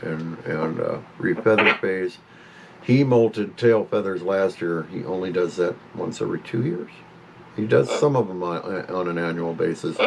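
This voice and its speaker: A man's voice: sits low, vocal fry, background noise. A man in his fifties talking casually to camera at home about his pet parrot, rambling and stopping to scold the bird. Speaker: pet owner vlogger.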